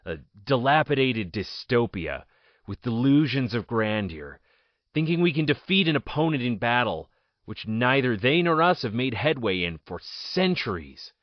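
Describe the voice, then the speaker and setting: An actor playing an anguished, imprisoned political outcast with strange powers, speaking in theatrical, solemn soliloquy that can break into frantic outbursts. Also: male voice, medium pitch, big pitch swings, normal speed, no echo, natural voice. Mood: bitter. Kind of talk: audio drama acting, soliloquy. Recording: dull lo-fi dry recording.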